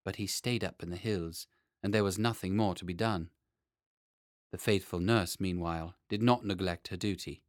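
Guy reading a storybook, expressive, fairly deep, very dry sound. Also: normal speed, no room sound. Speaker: audiobook narrator. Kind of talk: scripted children's story narration.

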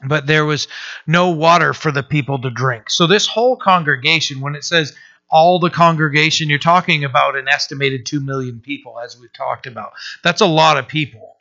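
A male pastor with a scratchy voice, teaching through a Bible passage in a casual, halting way with lots of fillers and throat-clearing. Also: fairly high pitch, sharp tone. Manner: halting, many uh fillers and restarts. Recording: dull lo-fi sound.

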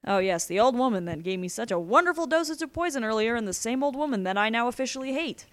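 A woman's voice: lowish voice, lively intonation, brisk, dry recording, natural voice. A woman voicing a sly, menacing male assassin prisoner with a taunting manner, in a story reading. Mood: uneasy, sardonic. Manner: taunting, sardonic.

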